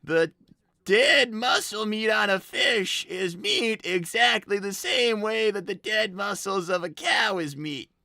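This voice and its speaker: Someone voicing an exasperated, creaky young cartoon fox boy. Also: medium pitch, takes it slowly, bright, forceful tone, no room sound, studio quality. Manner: stammering, exasperated, argumentative. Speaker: host of a live character stream. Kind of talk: improvised in-character chat, reading donations.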